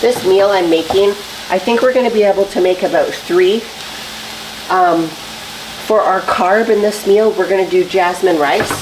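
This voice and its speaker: A woman's voice: medium pitch, moderate pace, noisy background. A woman fitness vlogger talking casually while she cooks, sounding a little stuffy and nasal after a cold, upbeat and full of fillers. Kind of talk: casual chatty vlog while cooking. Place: home kitchen. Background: faint sizzling of frying food.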